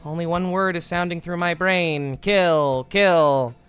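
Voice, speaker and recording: A man's voice: a little high, steady tone, takes it slowly, fairly bright tone, clean tone, soft background music. A man reading aloud the lines of a sleep-deprived young woman who plans a crime, in a melodramatic, menacing way. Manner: melodramatic, menacing read of game text.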